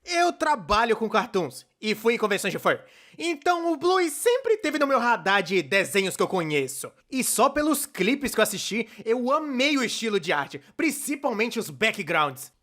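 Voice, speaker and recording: A man's voice: sits high, very animated, moderate pace, fairly bright tone. A man with a Brazilian accent playing a chatty, playfully sarcastic cartoonist narrator in a scripted fan dub. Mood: chatty, enthusiastic. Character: chatty, excitable young male cartoonist narrator.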